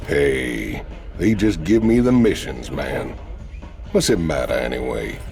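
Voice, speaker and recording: An actor playing a big, crude outlaw mercenary in a game cinematic, gravelly, brash and cynical. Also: male voice, big pitch swings, slow pace, darkish tone, soft background music, full character voice. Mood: cocky, cynical. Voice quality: gravelly, very deep. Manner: brash, drawling, sardonic. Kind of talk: video game cinematic acting.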